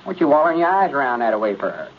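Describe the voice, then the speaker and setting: Exasperated old country storekeeper, mid-pitched, rural Southern accent, 1930s radio comedy, dull noisy recording. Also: male voice, very animated, racing. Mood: knowing.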